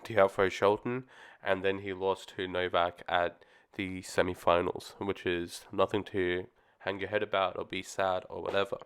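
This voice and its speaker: Man, low flat delivery, smooth voice a touch worn from the flu, laid-back rambling vlogger. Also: normal speed. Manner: easygoing, rambling, frequent ums and restarts. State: getting over the flu, voice slightly off. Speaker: tennis commentary vlogger. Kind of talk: casual solo opinion talk.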